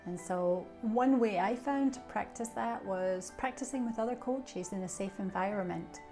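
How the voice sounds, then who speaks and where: A woman's voice: medium pitch, normal speed, music in the background. A middle-aged Scottish woman, a coach, introducing herself and sharing coaching tips in a reflective way with fillers. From Scotland. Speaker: coach, association treasurer. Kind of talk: self-introduction and coaching talk.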